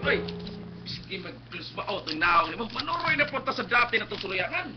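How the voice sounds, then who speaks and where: A carefree young Cebuano guy with a high voice that jumps around a lot. He jokes and exclaims in drawn-out radio-drama style on a dull recording. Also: sing-song, over loud music. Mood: playful. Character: jokey, carefree young man out drinking with friends. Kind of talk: Philippine radio drama acting. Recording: dull lo-fi webcast with noise.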